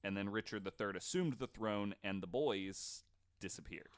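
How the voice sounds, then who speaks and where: A man's voice: fairly low pitch, moderate pace. A man hosting a podcast, telling stories casually from notes with informal, sweary asides. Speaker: podcast host.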